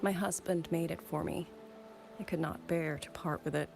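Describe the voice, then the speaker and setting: An actress playing a gentle, wistful widowed musician turned soldier in a game, speaking in a soft, measured, reflective way. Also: female voice, sits low, steady tone, gravelly, noisy background. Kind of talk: scripted game dialogue.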